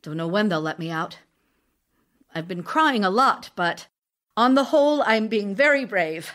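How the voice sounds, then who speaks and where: A woman's voice: mid-range voice, lively intonation, unhurried, a bit bright. A woman playing an ailing, lonely actress wife in an audio drama of love letters, speaking in a theatrical, confiding way. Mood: grieving.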